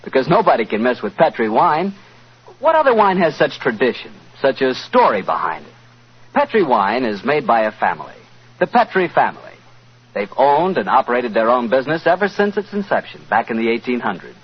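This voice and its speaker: A man working as a 1940s radio announcer and pitchman, delivering scripted banter on a dull vintage recording. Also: very animated, fairly quick, slightly rough.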